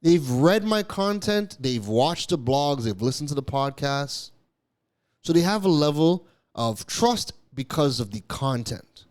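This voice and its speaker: A man's voice: medium pitch, a little mellow. A man raised in Canada hosting a business podcast, talking emphatically, repeating key phrases and now and then stumbling over his words. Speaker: podcast host. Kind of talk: solo business podcast monologue.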